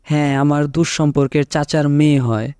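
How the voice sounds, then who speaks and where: Cartoon village uncle, male actor: warm dark tone, close dry sound, conversational and questioning. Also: on the high side, fairly even intonation, brisk, dry recording, close to an everyday voice. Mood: puzzled. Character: village man, puzzled uncle of the heroine.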